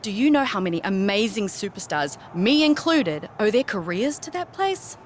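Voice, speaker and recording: A woman's voice: medium pitch, sing-song, moderate pace, a little hiss or hum, lightly acted. A woman playing an indignant, accusing wrestler in a game interview, emphatic and self-righteous. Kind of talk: video game interview scene.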